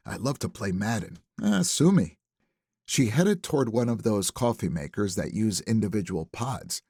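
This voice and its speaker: A man narrating a first-person thriller audiobook in a wry, conversational, expressive manner. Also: fairly low pitch, normal speed, breathy edge, dry recording, clean studio sound.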